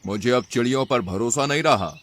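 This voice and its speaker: A man's voice: mid-range voice, brisk, fairly bright tone, lightly acted. A man voicing a stern, proud lion king in a children's cartoon, with a regal, commanding manner. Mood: distrustful. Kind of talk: Hindi children's cartoon voice acting.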